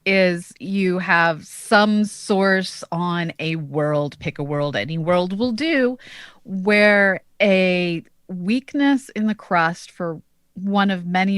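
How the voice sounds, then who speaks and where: A woman co-hosting a science podcast, explaining in a measured, careful way. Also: fairly low pitch, dry recording. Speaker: podcast co-host, astronomer.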